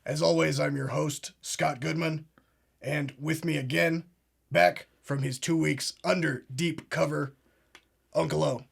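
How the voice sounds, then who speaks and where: Un uomo che fa un conduttore complottista roco e pomposo. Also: male voice, fairly high pitch, steady tone, a little slow, creaky, breathy edge, heavily acted. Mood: pompous. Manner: pompous, keeps saying "absolutely" and "ladies and gentlemen". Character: blustering conspiracy talk show host, male. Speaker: parody talk show host. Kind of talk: comedic parody show.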